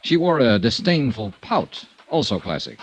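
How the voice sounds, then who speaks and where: A man's voice: mid-range voice, sing-song, moderate pace, a little hiss or hum, lightly acted. An actor playing a blunt, sardonic insurance investigator who narrates his own case in a 1950s radio noir drama. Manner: dry, clipped, sardonic. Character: hard-boiled insurance investigator, wry and blunt. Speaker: narrator and lead detective.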